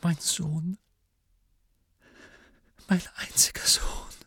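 A man voicing a desperate, pleading middle-aged witch, a frightened mother, in a breathy, tearful whisper for an audiobook story. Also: a little high, huge pitch swings, unhurried, a bit bright, dry recording, studio quality, pitched above the performer's own voice, exaggerated voice. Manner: imploring, broken phrasing.

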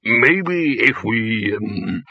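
A man's voice: on the low side, lively intonation, very slow, husky, exaggerated voice. A man voicing a hesitant, fussy wizard who chairs the group. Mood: puzzled. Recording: dull lo-fi, dry.

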